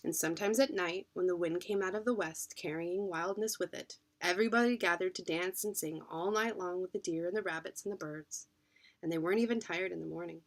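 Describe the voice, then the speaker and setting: An adult woman narrating a children's storybook in an expressive reading style. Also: lowish voice, brisk. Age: adult, parent. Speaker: children's book reader.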